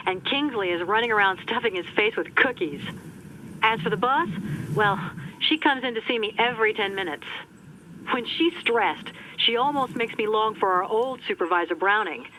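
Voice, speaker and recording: A woman's voice: medium pitch, moderate pace, bright, forceful tone, lightly acted. An actress playing a friendly, caring female office colleague in a video game, chatty and familiar, over a noisy call.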